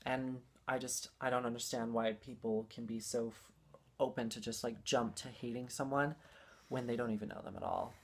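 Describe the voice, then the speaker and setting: A young adult with a mid-pitched voice talks casually and earnestly, as a guest sharing personal stories. The delivery is emphatic and rambling, circling back over phrases to stress them. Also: fairly even intonation, moderate pace. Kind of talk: casual heartfelt storytelling.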